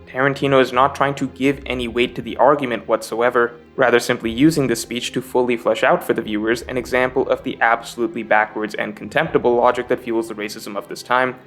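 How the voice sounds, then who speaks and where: Male video essay narrator, mid-pitched, measured and emphatic, scripted analysis with faint guitar music behind. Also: fairly bright tone.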